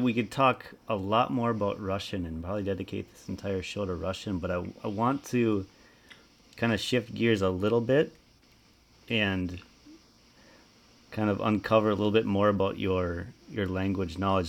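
A man hosting an interview, enthusiastic and repeating words as he shapes his questions. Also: low voice. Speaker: podcast host. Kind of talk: casual interview talk.

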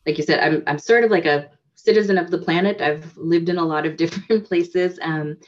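Low-voiced woman on a lo-fi call. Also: fairly even intonation, fairly quick. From United States. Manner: reflective, with frequent fillers and restarts. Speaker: guest. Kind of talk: casual interview. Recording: dull lo-fi audio.

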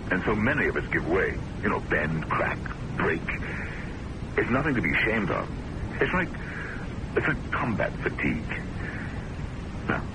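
Very deep, gravelly, patronizing doctor. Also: male voice, sing-song. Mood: world-weary. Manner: measured, condescending, halting for effect. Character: smooth-talking, patronizing, menacing male establishment doctor. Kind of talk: vintage radio drama acting. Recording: noisy old-time radio recording.

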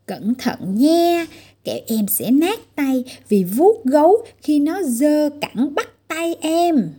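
A woman voicing a disdainful elder sister in a fairy tale, taunting with drawn-out warnings. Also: high voice, animated, warm tone, voice pushed up. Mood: mocking.

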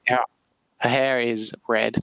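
A man co-hosting a casual podcast, making wry, deadpan quips about his partner's dating app. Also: medium pitch, takes it slowly, highs cut off. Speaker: podcast co-host. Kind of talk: casual banter.

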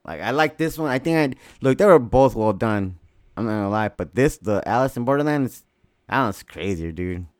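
A man's voice: medium pitch, moderate pace, no echo, clean studio sound. A man co-hosting a podcast in casual chat, rambling and swearing freely. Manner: profane, excitable, rambling. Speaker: podcast co-host.

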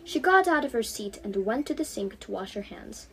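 A woman's voice: a little high, very animated, normal speed, fairly bright tone, poor audio. A fifteen-year-old young woman reading her own storybook aloud as narrator.

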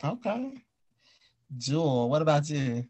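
A man's voice: a little high, sing-song, slow, a little mellow. A man hosting a casual group interview, putting questions to his guests. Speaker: podcast host and audio drama creator.

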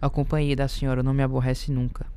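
An actor playing a stiffly polite, wounded young husband in a Brazilian radio soap opera, speaking formally and tersely. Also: male voice, mid-range voice, completely flat delivery, fast talker, warm tone, clean tone, close to an everyday voice. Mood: wounded, bitter. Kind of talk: radio soap opera acting of a literary classic.

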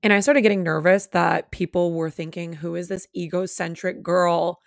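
Low, gravelly-voiced young woman chatting. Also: fairly even intonation, moderate pace, no room sound, studio quality. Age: 20s. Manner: chatty, candid, laughs between words. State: voice still recovering from losing it. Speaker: podcast host. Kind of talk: casual solo podcast chat. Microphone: own studio microphone, close.

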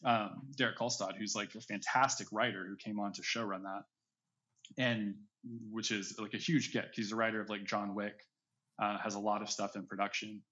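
A man's voice: lowish voice, flat delivery, normal speed, pushed and bright. A man speaking as a podcast guest in casual, discursive interview chat, often hedging as he explains. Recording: slightly dull, lo-fi audio.

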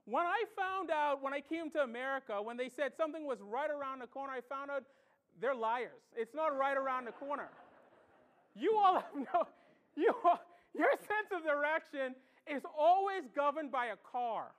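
Animated high-voiced woman preaching a sermon. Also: normal speed. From Bahamas. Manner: emphatic, animated, asks rhetorical questions.

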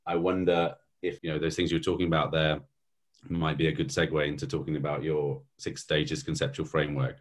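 A man's voice: a bass voice, flat delivery, fairly quick. A man hosting a podcast, asking long, rambling questions full of hesitations and "you know"s. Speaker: podcast host. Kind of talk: interview questions.

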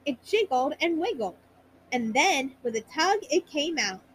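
A woman storyteller narrating a children's bedtime story in a high-pitched voice. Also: sing-song, a little slow, fairly bright tone, a little hiss or hum, low-quality recording.

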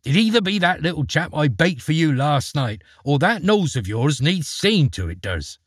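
A man voicing the ogre's sharp-tongued giant wife, who nags in rustic, broken speech. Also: fairly high pitch, big pitch swings, normal speed, husky, no room sound, clean studio sound. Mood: scolding. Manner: rustic, nagging country speech.

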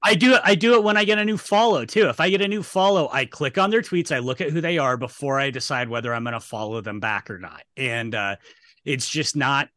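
A man's voice: mid-range voice, brisk, sharp tone, no room sound, studio quality. A man hosting a podcast in casual chat, blunt and emphatic, given to swearing. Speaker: podcast host.

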